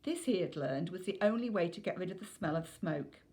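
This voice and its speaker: A woman's voice: on the low side, lively intonation, a little fast, warm tone. A woman reading a children's novel aloud as scripted narration.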